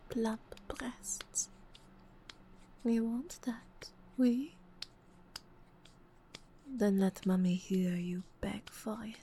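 Woman, slightly high voice, breathy teasing whisper, French endearments, very unhurried. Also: dark tone, slight background noise. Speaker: audio roleplay performer. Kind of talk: intimate whispered roleplay.

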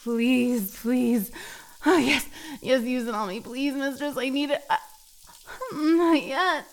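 A woman playing a submissive, needy cat girl in an intimate adult audio roleplay, halting and breathy as she pleads. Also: sits high. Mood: desperate, overwhelmed.

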